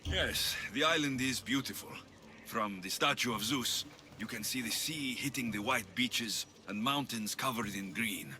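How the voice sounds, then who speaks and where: A man's voice: medium pitch, measured pace, bright, forceful tone. A man playing a kindly, modest young Greek warrior in a video game, plain-spoken and earnest. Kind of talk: video game cutscene acting.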